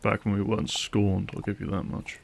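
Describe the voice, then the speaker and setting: Low, gravelly man as a guarded hunter. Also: little pitch movement, brisk, slight background noise. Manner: terse, dry, guarded. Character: wry, guarded male vampire hunter, gruff protective ally. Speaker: voice actor playing a vampire hunter. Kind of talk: fantasy audio roleplay acting.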